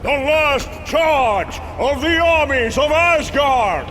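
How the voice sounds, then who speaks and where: A man's voice: very high-pitched, animated, slow, fairly bright tone, a little hiss or hum, exaggerated voice. A man playing a noble, rousing warrior god in a motion comic, declamatory and grandiose. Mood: rousing, heroic.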